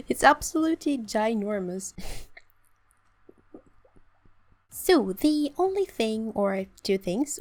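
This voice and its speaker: Chatty Swedish woman with a sore throat. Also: a little high. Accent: southern Swedish. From southern Sweden. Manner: chatty and playful, giggles between phrases. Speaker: gaming channel host. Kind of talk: casual let's-play commentary.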